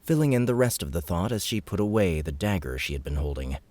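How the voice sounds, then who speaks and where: Deep-voiced male audiobook narrator. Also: very animated, fast, a little mellow, no echo. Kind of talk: scripted story narration.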